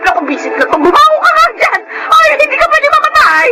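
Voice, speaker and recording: A woman's voice: very high-pitched, sing-song, fast, raised voice, very noisy. A woman playing a brash, money-hungry pregnant mistress who scolds and exclaims in a Filipino radio drama. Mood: panicked, angry.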